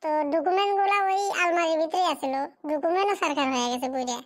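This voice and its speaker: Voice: very high-pitched, lively intonation, fast. A performer voicing a poor, fatherless schoolboy in a cartoon drama, pleading and plaintive in a rural Bengali dialect.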